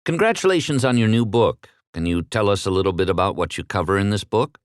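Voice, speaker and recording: A man's voice: fairly low pitch, animated, fast talker, clear, resonant tone, no room sound, studio quality. A man with an American accent delivering scripted introductions and interview questions in a polished, formal announcer manner. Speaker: interviewer, audiobook narrator.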